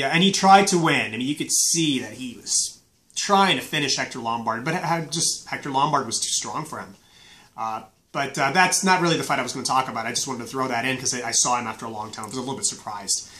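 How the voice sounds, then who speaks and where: A man's voice: a little high, sharp tone. A man in his thirties, stuffy from a flu, casually reviewing fights with many fillers and restarts, in a small room on a webcam.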